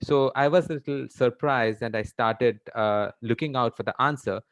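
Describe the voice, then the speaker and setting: An Indian-accented male doctor speaks as a panelist on a video call. He is informal and hesitant, feeling his way through each point in short, broken phrases at a medium pitch. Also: moderate pace, smooth. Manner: hesitant, stop-start phrasing. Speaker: webinar panelist, practising doctor. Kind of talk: informal question on a video webinar.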